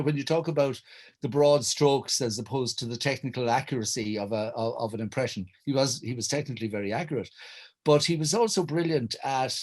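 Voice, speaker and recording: An Irish man in middle age talks reflectively as a panel guest. His voice sits at a medium pitch, and he is articulate but halting, repeating small words and restarting before he lands on a full phrase. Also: a little mellow. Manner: hesitant, stammering restarts, wordy and articulate. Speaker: writer and director, panel guest. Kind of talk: reflective conversation recounting memories.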